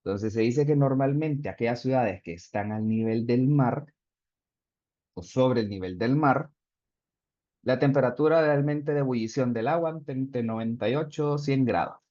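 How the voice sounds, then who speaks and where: A man's voice: medium pitch, moderate pace, a little mellow, dry recording. Man teaching an online class, dry lo-fi sound. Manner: explanatory, reads chat answers aloud. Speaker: instructor. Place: video call.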